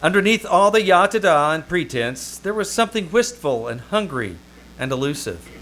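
A man's voice: a little high, sing-song, moderate pace, a bit bright. A man playing a world-weary Hollywood publicist with dry, wisecracking delivery in 1950s radio comedy style. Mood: wistful. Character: wry, world-weary male Hollywood publicist, war veteran. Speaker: actor in old-time radio troupe. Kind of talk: 1950s radio comedy acting, live on stage. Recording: noisy live stage recording.

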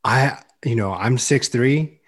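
A young man on a podcast, talking earnestly and loudly in a casual chat, with many "like"s. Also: mid-range voice, slow, slightly rough. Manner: loud, earnest, heavy use of "like". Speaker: podcast co-host.

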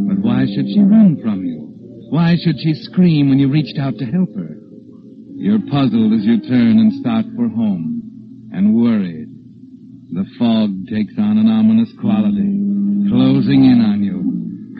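Male actor as taunting mystery narrator, medium pitch, 1940s radio drama delivery, clear music behind, dull vintage sound. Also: lightly acted. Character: knowing, sardonic mystery narrator taunting the protagonist.

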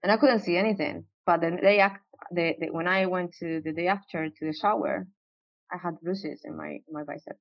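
A woman's voice: on the low side, fairly even intonation, normal speed, gravelly, dry recording. A woman guest on a podcast, talking in casual interview chat, hesitant with frequent restarts. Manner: hesitant, many restarts and fillers. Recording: dry, dull lo-fi audio.

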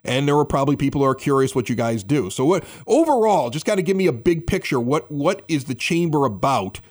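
Higher-voiced man hosting a chatty podcast. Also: animated, fairly quick, darkish tone. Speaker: podcast host. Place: home basement bar studio.

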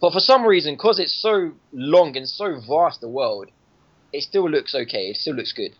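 A man's voice: a little high, lively intonation, normal speed. Guy rambling about a game on a podcast, keeps restarting sentences, slightly muffled lo-fi sound. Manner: rambling, restarts often, laughs at own jokes. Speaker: podcast guest reviewing a game. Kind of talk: casual gaming podcast chat. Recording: lo-fi podcast audio.

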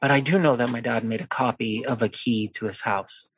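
Animated man from Poland telling stories. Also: mid-range voice, a little fast, slightly rough, dry recording. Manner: animated storyteller who acts out quoted voices. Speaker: guest. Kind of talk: conversational storytelling.

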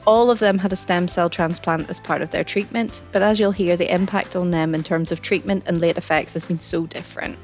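A woman presenting a podcast, reading a scripted intro in a clear, measured way. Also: fairly low pitch, steady tone, faint music behind. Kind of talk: scripted narration.

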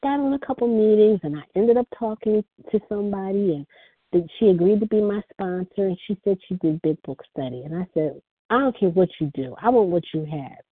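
A soft-spoken woman telling her personal recovery story as the speaker at a phone meeting. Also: medium pitch, brisk, slightly rough, no room sound. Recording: phone line.

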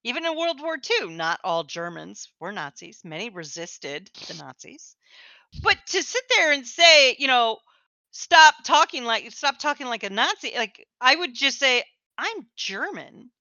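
A woman's voice: a little high, very animated, unhurried, bright, forceful tone, no room sound. A woman co-hosting a podcast in casual chat, teasing her co-host and laughing often. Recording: dull, lo-fi, dry.

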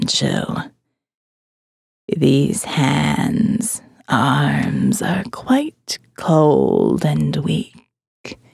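A woman voicing a reanimated female corpse in an audio drama, breathy and halting, with solemn, formal courtesy. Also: deep voice, darkish tone, no echo, studio quality, voice pushed down, exaggerated voice. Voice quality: breathy, whispery, creaking. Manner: slow, halting, stiff-lipped, formal.

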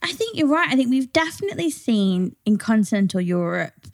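A woman's voice: a little high, very animated, fairly quick. A woman from North Wales with a British accent, co-hosting a podcast in a casual, conversational style. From North Wales, near the English border. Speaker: podcast co-host.

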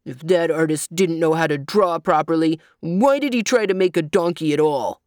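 A woman's voice: sits low, moderate pace, darkish tone, no room sound, natural voice. A cross, clumsy male donkey from a children's tale, acted out by a woman reader. He complains indignantly and grumbles his way through every line. The recording is close and dry. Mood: angry, grumbling. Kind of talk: children's storybook character reading.

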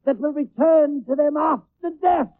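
An actor playing a dying, vengeful, grandiose stage star with anguished theatrical delivery in a 1940s radio drama. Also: male voice, extremely high pitch, normal speed, no room sound. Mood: crazed, vengeful. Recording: old narrow-band radio recording.

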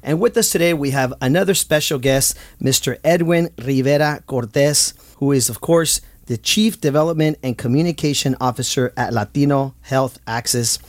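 A man hosting a community podcast, chatting casually and upbeat, often laughing and giving shout-outs to his guest. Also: mid-range voice, normal speed, few pauses, dry recording. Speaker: podcast host.

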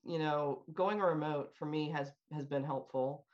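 A woman's voice: sits low, measured pace, darkish tone, highs cut off. A middle-aged woman chatting casually and candidly as a panel guest on a call. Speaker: panel guest working in healthcare.

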